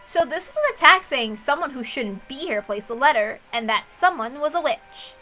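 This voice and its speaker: A woman reading a visual novel aloud as its narrator, in expressive scripted narration. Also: high-pitched, normal speed. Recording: music under the voice.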